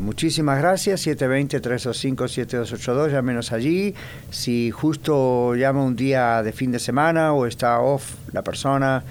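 A man, a pastor hosting a church radio talk show, giving announcements in an inviting way and repeating details slowly. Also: medium pitch, a little hiss or hum.

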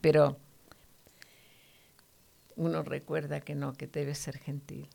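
A woman's voice: low voice, flat delivery, slow, gravelly, darkish tone. A middle-aged woman giving devotional teaching in conversation, didactic and often checking for agreement with short tag questions.

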